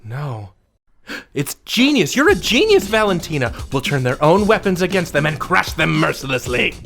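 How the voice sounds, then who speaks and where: A melodramatic schoolboy of twelve in an audio drama. He is excitable and theatrical, with hugely animated intonation over faint music. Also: on the high side, moderate pace, creaky, heavily acted. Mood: awed, scheming. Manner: theatrical, exaggerated. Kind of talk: scripted children's audio drama.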